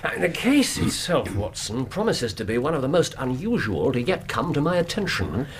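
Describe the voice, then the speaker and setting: A man's voice: medium pitch, very animated, moderate pace, background noise, natural voice. An actor playing a brilliant, self-assured British detective in a radio drama, courteous, precise and theatrical in his speech. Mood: eager, courteous.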